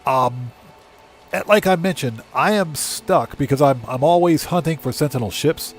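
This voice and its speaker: A man livestreaming a game, chatting casually with viewers and thinking aloud in a halting, easygoing way. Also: fairly high pitch, soft background music. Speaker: livestream host and gamer.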